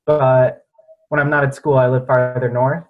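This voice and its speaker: A man's voice: medium pitch, normal speed, phone-quality sound. A young man in his early twenties from New Hampshire, chatting casually as a university student on a video call, laughing easily and saying "like" a lot. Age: early 20s. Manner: relaxed, laughing, lots of "like".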